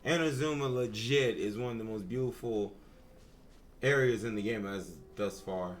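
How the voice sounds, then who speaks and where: A man's voice: lowish voice, normal speed. A man giving casual live reaction commentary as a game streamer.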